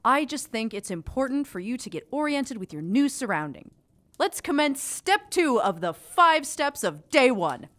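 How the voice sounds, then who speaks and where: Woman as young witch, chipper, officious, eager to impress, highish voice, very lively intonation, audio drama. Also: normal speed. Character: chipper, eager young female witch, self-conscious town figurehead.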